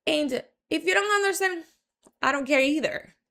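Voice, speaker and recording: Woman, dominican accent, slightly high voice, young adult, chatty, frank, restarts often. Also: moderate pace, fairly bright tone. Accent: Dominican Spanish. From Dominican Republic. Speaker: marketing entrepreneur. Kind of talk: casual storytelling monologue.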